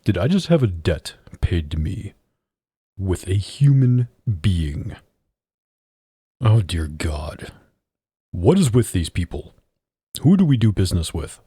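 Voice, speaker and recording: A man playing a domineering, sardonic mafia boss in an intimate ASMR roleplay, deliberate and commanding, deep and husky, close on a studio microphone. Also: very animated, mellow, dry recording, exaggerated voice. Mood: incredulous.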